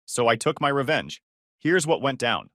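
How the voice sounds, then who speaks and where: A man's voice: mid-range voice, big pitch swings, moderate pace, a bit bright, dry recording, studio quality. A man in his twenties narrating his own story in a sarcastic, wry tone. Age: 20s. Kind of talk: scripted first-person story narration.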